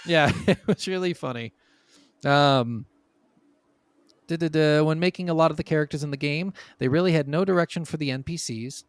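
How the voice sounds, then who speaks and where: A man co-hosting a casual gaming podcast, telling stories from his notes in an animated, chuckling way. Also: fairly high pitch, moderate pace, no room sound. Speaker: podcast co-host.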